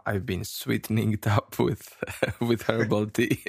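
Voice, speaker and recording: A man from Romania co-hosting a casual chat, with a rough voice today, talking haltingly with fillers and a self-deprecating streak. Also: lowish voice, level tone, no room sound. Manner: halting, many fillers and restarts, laughs often. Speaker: podcast co-host.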